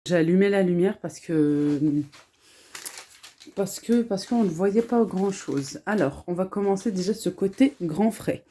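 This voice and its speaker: Soft-spoken woman, hushed home vlog. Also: mid-range voice, a little slow, a little hiss or hum. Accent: French with a slight Arabic-influenced lilt. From France. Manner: speaks softly, hushed so as not to wake children; chatty, many fillers, calm and earnest. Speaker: family vlogger. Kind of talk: casual haul vlog. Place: home kitchen, indoors.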